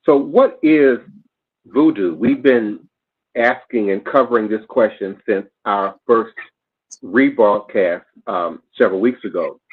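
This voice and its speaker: A man's voice: fairly low pitch, husky. Man on a tinny narrow line. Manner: careful, spells out names letter by letter; pauses and corrects his own pronunciation. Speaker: host. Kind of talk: reading news reports aloud. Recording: phone-like narrow band.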